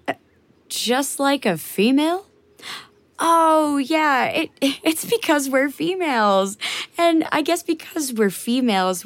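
Comic audio drama: nerdy, indignant young American woman, wordy, emphatic, very animated pitch. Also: dry recording, full character voice.

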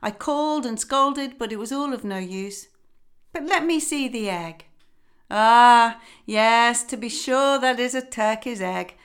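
A woman voicing a bossy, knowing old female duck who gives loud advice. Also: a little high, measured pace, smooth, pitched above the performer's own voice. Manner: know-it-all, emphatic.

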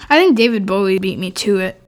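A female performer playing a frank, curious twelve-year-old kid in a naturalistic comedy radio play, gently teasing and deadpan. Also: medium pitch, brisk, natural voice.

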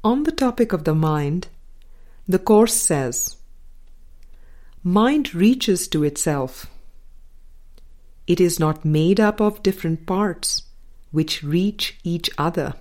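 A woman reading a spiritual book aloud as scripted narration, in measured, deliberate phrases with pauses. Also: fairly low pitch, lively intonation, darkish tone. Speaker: audiobook reader.

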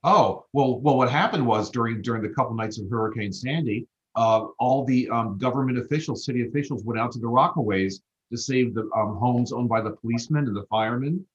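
A man on a discussion panel, recounting events in a relaxed, matter-of-fact way. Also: medium pitch, fairly quick, few pauses. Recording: dull lo-fi audio.

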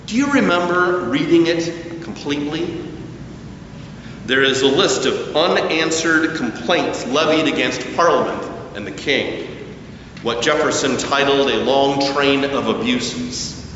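A man preaching a sermon at a slow, deliberate pace, his voice rising and falling with emphasis. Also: medium pitch, slight background noise. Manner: slow, deliberate preaching with lively rises and falls. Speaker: pastor. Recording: echoey church hall, distant mic, dull sound.

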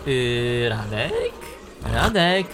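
A man's voice: mid-range voice, sing-song, extremely slow, fairly bright tone, soft background music, heavily acted. An actor playing a put-upon, chatty painter complaining about his aunt in a radio play. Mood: needling, eager. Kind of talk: radio play acting, storytelling.

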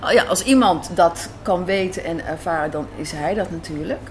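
A woman's voice: fairly low pitch, normal speed. Emphatic Dutch woman in a café interview. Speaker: guest, radio drama writer and director. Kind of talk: conversational interview answers. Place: café, indoors. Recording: faint café background noise. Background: faint café ambience.

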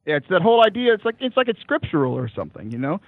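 A young man with a loud voice, talking casually as an interview guest, animated, with many fillers and restarts, laughing often. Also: sits high, very fast, gravelly, dry recording. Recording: dry, phone-like call line.

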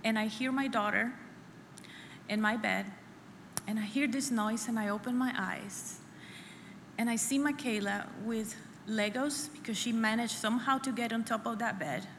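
Earnest woman, bright voice. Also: on the high side, fairly even intonation, vocal fry. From El Salvador. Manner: earnest and halting, repeats phrases. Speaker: conference speaker sharing her testimony. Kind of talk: personal testimony at a church women's conference. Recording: echoey hall with faint room noise.

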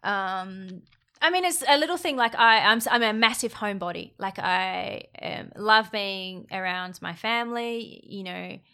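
A woman talking casually as a podcast guest, soft and reflective, trailing off with fillers. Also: medium pitch, a little slow, smooth. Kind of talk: casual interview chat.

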